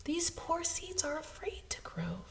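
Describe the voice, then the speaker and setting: Kindly, sensible storybook frog played by a woman, gentle advice in a high, lively, unhurried voice. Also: creaky, in a whisper, heavily acted. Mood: reassuring. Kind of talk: children's storybook read-aloud.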